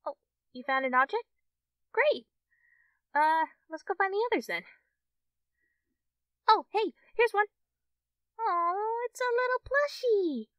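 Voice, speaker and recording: A woman playing a friendly, shy, easily excited young fox-tailed girl in an ASMR roleplay, speaking hesitantly to the listener as a friend. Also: very high voice, dry recording, far from the mic, exaggerated voice. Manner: hesitant, halting, giggly, addressing the listener as a friend. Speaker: roleplay performer.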